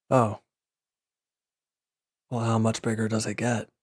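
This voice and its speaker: A man's voice: on the low side, dry recording, clean studio sound, natural voice. A man playing a wounded former villain in an audio roleplay, sullen, defensive and restless, talking in a curt, grudging, sarcastic way. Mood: puzzled, guarded. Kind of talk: intimate audio roleplay acting.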